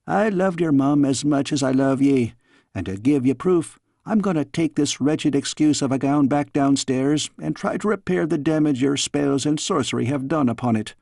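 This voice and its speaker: Guy doing kindly old scottish maid lady from a storybook, homely scolding, bit gravelly. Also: fairly high pitch, normal speed, dark tone, no echo, clean studio sound. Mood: soothing. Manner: folksy, dialect-heavy, fondly chiding. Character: kindly scolding older Scottish maid woman, folksy and affectionate. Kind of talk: storybook character voice.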